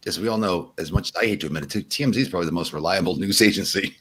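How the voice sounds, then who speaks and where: A man's voice: low-pitched, rapid-fire, fairly bright tone, husky. A middle-aged man co-hosting a podcast, chatting casually with dry sarcasm. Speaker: podcast co-host. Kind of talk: casual chat.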